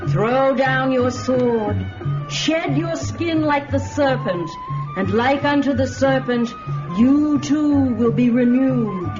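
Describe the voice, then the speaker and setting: An actress playing a haunting, commanding princess priestess of a serpent cult, imperious and prophetic, in a fantasy radio drama. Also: female voice, high voice, slow pace. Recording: dull radio recording with music.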